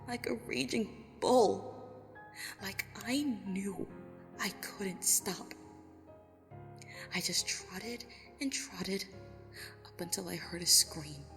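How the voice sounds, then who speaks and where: A woman playing a traumatized, grief-stricken young female pony recounting horror in a breathy, anguished first-person narration. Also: medium pitch, takes it slowly, long pauses, a bit bright. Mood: desperate, intense. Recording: long reverb, music underneath. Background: music.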